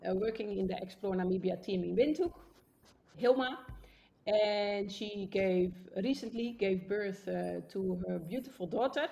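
Gravelly Dutch-native woman podcast host. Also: medium pitch, a little slow, mellow, a little hiss or hum. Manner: chatty, upbeat presenting. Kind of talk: casual podcast conversation.

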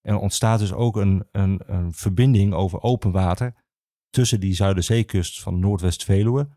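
A man explaining history as an interview guest, speaking haltingly with many filled pauses. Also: low-pitched, mellow, no echo, clean studio sound. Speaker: archivist, podcast guest.

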